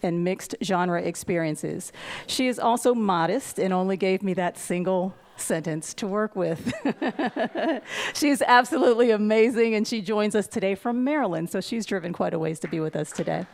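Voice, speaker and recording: Gravelly-voiced woman host. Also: medium pitch, moderate pace, a little mellow. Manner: upbeat, announcing, with gravelly fry. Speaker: event host introducing the cast. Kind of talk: live event introduction. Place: live venue.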